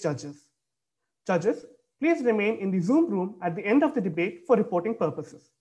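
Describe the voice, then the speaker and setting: A man's voice: high voice, a little mellow. A young man reads out formal announcements as a debate moderator, evenly and from a script. He is speaking into a computer mic on a video call from an indoor room.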